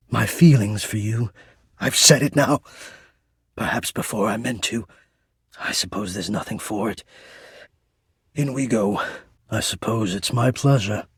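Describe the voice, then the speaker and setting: An actor playing a shy, devoted, lovestruck male air-vent spirit in a romance video game, with breathy, formal, florid and earnest delivery. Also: lowish voice, dry recording, studio quality, heavily acted. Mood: tender, apologetic.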